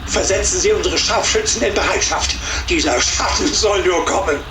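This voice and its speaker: A curt German commander. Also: male voice, high voice, normal speed. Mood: commanding. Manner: curt, authoritative. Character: commanding German officer giving orders. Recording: echoey, distant, with music.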